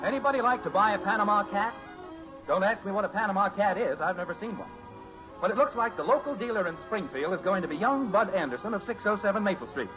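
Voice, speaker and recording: A man's voice: high voice, animated, quick pace. A man giving scripted narration for an old radio sitcom in a wry, breezy way. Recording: muffled old radio recording, music behind.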